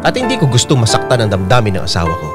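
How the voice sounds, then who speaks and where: A man's voice: medium pitch, huge pitch swings, very fast. An actor voicing a remorseful, confused husband who narrates his letter earnestly and sorrowfully, with a Filipino accent. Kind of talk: dramatic first-person letter narration. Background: loud piano and keyboard music.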